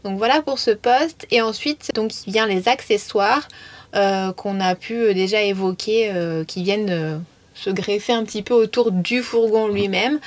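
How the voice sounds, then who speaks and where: A woman's voice: mid-range voice, measured pace. Woman casually walking through a budget. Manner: hesitant, frequent fillers, teasing asides. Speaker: vanlife vlogger. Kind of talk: casual explanatory chat. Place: inside a converted van.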